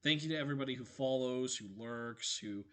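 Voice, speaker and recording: Hoarse male streamer wrapping up. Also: medium pitch, a little fast, pushed and bright. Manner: tired, rambling, thankful to viewers. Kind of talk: casual stream chat. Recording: game music playing behind.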